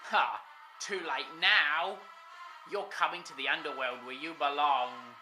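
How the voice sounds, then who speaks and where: A woman voicing a menacing ghostly male steam engine villain who taunts in a slow, gloating drawl. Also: low voice, sharp tone, soft background music.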